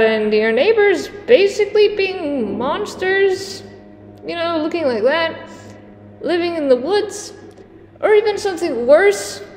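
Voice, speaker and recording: Female vlog host, slow pace, conversational with dramatic emphasis, roomy echo and background noise. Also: high-pitched, darkish tone. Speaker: host of a countdown video. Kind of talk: casual commentary to camera. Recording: echoey reverberant room, clear background noise.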